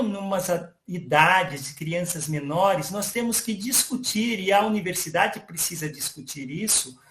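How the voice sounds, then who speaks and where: A man voicing a friendly virtual young male AI character, explaining calmly to an audience. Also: high-pitched, reverberant. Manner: calm explanatory, addresses audience as "pessoal". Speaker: interviewee.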